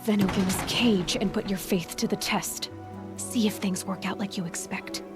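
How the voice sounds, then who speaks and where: A woman's voice: medium pitch, fairly even intonation, normal speed, natural voice. A woman playing a defiant young captive warrior in a video game, talking back in a blunt, sardonic way. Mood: scornful, defiant. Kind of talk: video game cutscene acting. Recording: voice under background music.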